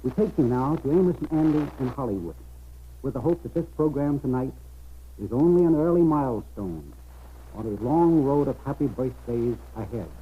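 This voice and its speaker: A man's voice: medium pitch, expressive, normal speed, slightly rough, dull audio. A man giving a formal announcement in the style of a 1930s radio broadcast.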